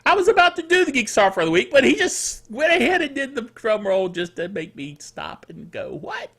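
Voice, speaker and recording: High-pitched, animated man hosting tech news. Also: fairly quick, gravelly. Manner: chatty, jokey, digressive, self-correcting. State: rough throat, coughing. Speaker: host of a tech news show; technical director for radio stations. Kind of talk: casual scripted tech news commentary with asides.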